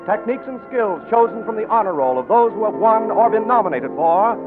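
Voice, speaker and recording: A man's voice: sits high, expressive, fairly quick. A man announcing a sponsored radio show in a formal, grand, scripted 1940s style. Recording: muffled old 1940s radio broadcast, music behind.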